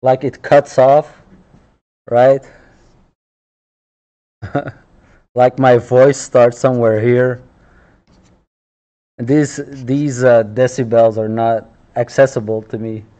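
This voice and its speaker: Slow-talking man streaming with a headset. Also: mid-range voice. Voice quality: loud voice. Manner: relaxed, chuckling asides. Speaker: live streamer. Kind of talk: casual chat while drawing on stream. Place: small office room, indoors. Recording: dull lo-fi stream audio.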